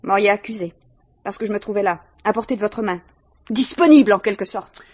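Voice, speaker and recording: A woman's voice: mid-range voice, fairly quick, darkish tone, slightly rough, no echo, natural voice. An actress playing a defensive, indignant female student under questioning in a 1950s French radio mystery, haughty and formally precise. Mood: bitter, mocking.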